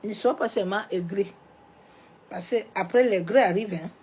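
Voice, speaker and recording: A woman pastor with a cold, preaching a sermon. She swings from loud, emphatic declarations to soft, near-whispered asides and drops little rhetorical interjections as she goes. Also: mid-range voice, moderate pace, slightly rough. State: has a cold, coughing.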